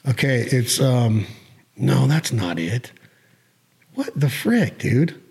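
A man's voice: medium pitch, very animated, slow, darkish tone. Joking, self-doubting male podcast host. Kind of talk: casual podcast chat.

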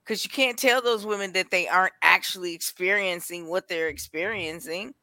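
A woman's voice: on the low side, moderate pace, bright, forceful tone, no echo. A woman chatting casually on a talk show, sharing her opinions. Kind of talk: casual chat, anecdotes and opinions.

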